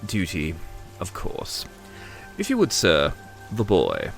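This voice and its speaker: A man's voice: low voice, huge pitch swings, takes it slowly, vocal fry, faint music behind, full character voice. A man playing a pompous, probing inquisitor with mocking courtly politeness, speaking in an ornate, drawling, theatrical way for an audio drama. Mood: commanding.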